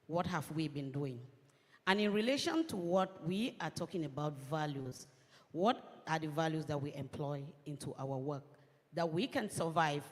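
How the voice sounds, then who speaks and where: A woman from Cameroon, speaking as a panelist in measured, earnest phrases. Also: deep voice. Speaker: panelist, women's organization coordinator. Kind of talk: conference panel remarks. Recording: long reverb of a large hall.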